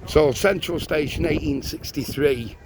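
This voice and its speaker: An older man, chatting casually while walking a town tour, his voice a little squeaky and strained. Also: a little slow. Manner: chatty, rambling, self-mocking. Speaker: walking vlogger. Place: outdoors on busy town streets. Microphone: handheld camera on a gimbal. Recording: outdoor street noise.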